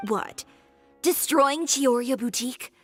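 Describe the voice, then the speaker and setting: An actress playing a proud, resolute young fashion designer in a video game, cool, assertive and cutting. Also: female voice, high-pitched, slow, soft background music. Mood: scornful.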